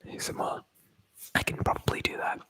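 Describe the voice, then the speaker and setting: A man's voice: a little fast, fairly bright tone, no echo. A man whispering through an ASMR livestream, rambling and joking as he reads viewer comments aloud. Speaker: ASMR streamer.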